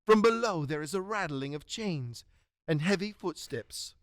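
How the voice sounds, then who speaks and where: A man's voice: a little high, expressive, unhurried, a bit bright, no echo, studio quality. A man reading dramatic scripted narration for a radio drama.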